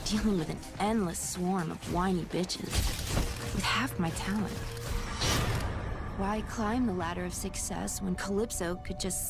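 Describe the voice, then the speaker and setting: An actress playing a vain, bitter masked model with a haughty, dramatic delivery in a video game. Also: female voice, mid-range voice, natural voice. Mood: brooding. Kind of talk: cinematic video game acting. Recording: loud music behind the voice. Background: loud cinematic music.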